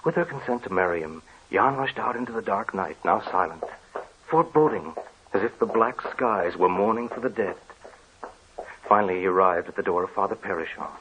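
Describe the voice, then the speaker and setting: A man narrating an old radio horror drama in a grave, measured way. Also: on the low side, lively intonation, muffled recording, noisy background.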